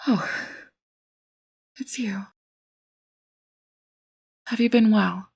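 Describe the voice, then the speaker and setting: A woman playing a weary, wounded figure of authority, breathy and creaky, hushed and deliberate in an audio drama. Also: mid-range voice, slight background noise. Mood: confiding, worried.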